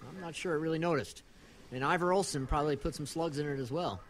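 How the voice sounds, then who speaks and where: A man's voice: a little high, fast, slight background noise, close to an everyday voice. A man acting a practical, gung-ho local outdoorsman on a monster hunt in a horror audio drama, matter-of-fact in manner.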